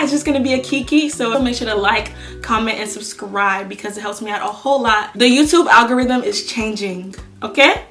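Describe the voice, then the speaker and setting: A woman's voice: mid-range voice, a bit bright. A young woman from Nigeria chatting casually to her channel while she does her makeup, sassy and full of slang. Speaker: YouTuber. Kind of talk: casual storytime chat while doing makeup.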